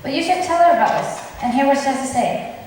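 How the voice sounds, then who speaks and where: A woman in a student drama club playing a loyal, frank young waiting gentlewoman on stage. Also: high voice, fast talker, natural voice. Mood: frank, agreeable. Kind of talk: amateur stage acting. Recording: very echoey hall, distant mic, faint hiss.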